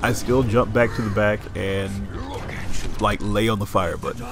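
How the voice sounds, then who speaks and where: A man commentating his own gameplay, explaining tactics in a coaching tone with quick excited asides, his nose a little stuffy. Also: lowish voice, over music.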